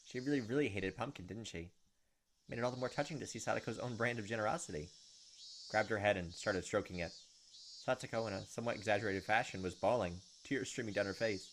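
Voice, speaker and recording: A man's voice: lowish voice, quick pace. Young man narrating over noisy ambience. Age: young adult. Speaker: gaming video host. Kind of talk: reading visual novel narration aloud, with casual asides.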